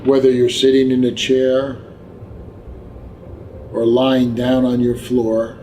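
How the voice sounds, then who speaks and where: Very slow-speaking man guiding meditation. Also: mid-range voice, monotone, a little hiss or hum. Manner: gentle, unhurried instruction with long pauses. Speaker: meditation guide. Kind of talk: guided meditation, scripted narration. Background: background music.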